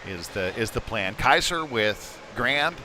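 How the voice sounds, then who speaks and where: An American man giving casual, conversational live hockey play-by-play as a commentator, getting excitable when play heats up. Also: medium pitch, a little slow. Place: indoor ice rink. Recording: noisy indoor ice rink.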